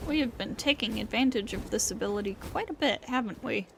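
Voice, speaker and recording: A woman's voice: fairly high pitch, fairly quick, gravelly, noisy background. A woman game streamer giving casual live commentary in a playful, wry manner. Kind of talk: casual live gameplay commentary, reading dialogue aloud. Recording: game music behind the voice.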